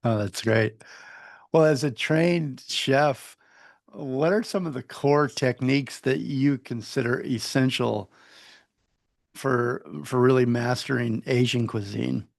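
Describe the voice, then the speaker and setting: Gravelly male podcast host, dry sound. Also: medium pitch, no room sound. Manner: halting, repeats words while forming questions. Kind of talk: interview questions.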